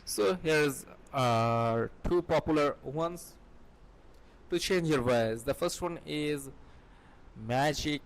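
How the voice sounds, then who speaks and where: Man, slightly high voice, scripted tutorial narration, somewhat halting delivery. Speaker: tutorial host.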